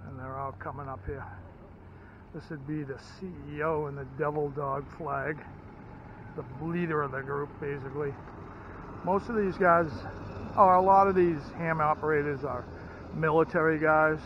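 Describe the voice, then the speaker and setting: Muffled, higher-pitched American man vlogging outdoors. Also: fairly even intonation, normal speed, noisy background. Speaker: vlogger and ham radio hobbyist. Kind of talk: casual vlog narration. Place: outdoors at a fairground. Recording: muffled outdoor camera audio.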